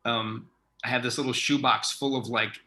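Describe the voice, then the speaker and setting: Bright-voiced man interviewing casually. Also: medium pitch, steady tone, normal speed. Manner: rambling, feels his way through questions. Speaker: interviewer, theatre artistic director.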